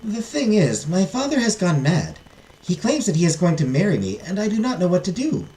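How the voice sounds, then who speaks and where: An earnest young princess from a storybook, put on by a woman narrator. The voice sits high and moves around a lot in pitch. It is formal, anxious and pleading, recorded in an echoey space. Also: very animated, a little fast, warm tone. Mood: troubled, hesitant. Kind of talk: storybook character voice.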